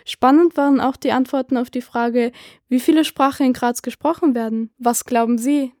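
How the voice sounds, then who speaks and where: Bright-pitched woman presenter. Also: steady tone, normal speed, dry recording, studio quality. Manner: clear, addressing listeners. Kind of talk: scripted radio narration.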